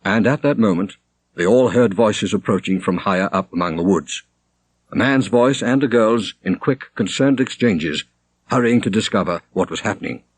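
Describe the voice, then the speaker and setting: A man reading a literary story aloud as an audiobook narrator, in a theatrical, expressive manner. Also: on the low side, normal speed, breathy edge, no room sound, rough recording. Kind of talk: dramatic literary narration with character voices.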